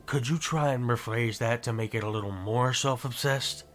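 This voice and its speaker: A man acting a gruff, sardonic survivor with deadpan delivery in a zombie audio drama. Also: medium pitch, normal speed, lightly acted. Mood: dry, sarcastic. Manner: deadpan, sarcastic.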